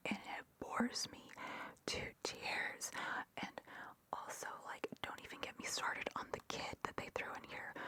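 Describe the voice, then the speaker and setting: A woman whispering a casual, opinionated and geeky ramble as an ASMR host. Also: rough recording.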